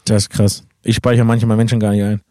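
A man's voice: fairly low pitch, little pitch movement, quick pace, warm tone, no room sound, studio quality. A man in his late twenties in casual chat, blunt and teasing, with lots of swearing and youth slang. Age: late 20s. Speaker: streamer, podcast guest. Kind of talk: casual chat between friends.

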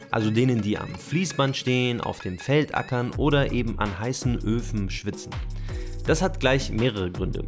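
A man co-hosting a podcast, a journalist who explains history in a chatty, animated way. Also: medium pitch.

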